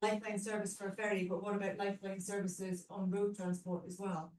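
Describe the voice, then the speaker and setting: A woman's voice: medium pitch, level tone, fairly quick, a little mellow. A woman hosting a live panel session, chatty and drawing in the audience. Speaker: host. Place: community cinema hall. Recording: roomy hall, mic at a distance.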